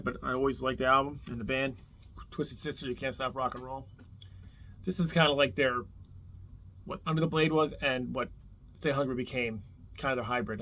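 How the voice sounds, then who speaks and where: A man's voice: mid-range voice, sharp tone, slight background noise. A man from New Jersey with a Jersey accent hosts a casual album countdown on his video channel, rambling with lots of fillers and restarts. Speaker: YouTube host.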